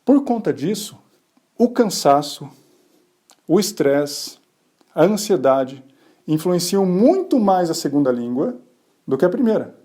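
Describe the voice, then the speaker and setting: Male podcast host, relaxed mellow tone, slightly higher voice, chatty explainer who answers his own rhetorical questions. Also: measured pace, pauses often. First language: Portuguese. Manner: tag questions and fillers. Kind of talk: casual science explainer, speaking to listeners.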